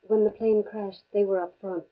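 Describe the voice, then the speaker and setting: A woman's voice: mid-range voice, flat delivery, moderate pace, close to an everyday voice. An actress playing a soothing, grave woman at a bedside in a 1950s radio drama. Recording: dull, lo-fi old radio recording.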